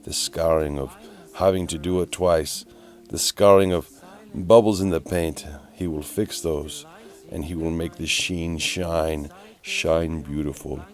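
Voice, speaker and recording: A man's voice: very deep voice, steady tone. A man playing a pompous, easily exasperated narrator who mocks a painter in a theatrical, mock-dramatic DIY voice-over. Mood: solemn, melodramatic. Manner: theatrical, pompous, drawn-out repetition.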